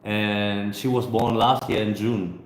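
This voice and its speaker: A man in his thirties, a travel vlogger chatting casually on a live stream Q&A, relaxed and hesitant, with many fillers and restarts, filming on his phone in a busy hostel co-working space. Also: lowish voice, slow pace, reverberant. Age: 30s. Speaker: travel vlogger answering viewer questions. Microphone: phone camera.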